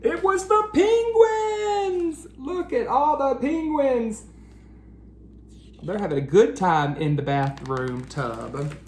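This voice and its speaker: A man hosting a read-aloud for children, speaking directly to them with questions and drawn-out emphasis. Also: extremely high pitch, lively intonation, slight background noise. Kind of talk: children's picture-book read-aloud and chat.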